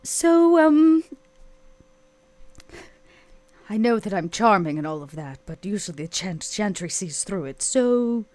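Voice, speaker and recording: A woman's voice: mid-range voice, big pitch swings, moderate pace, a little mellow, natural voice. A woman playing a charming, self-assured female vampire, theatrical and arch, in a tabletop roleplay podcast. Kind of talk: tabletop roleplay and in-character sponsor read.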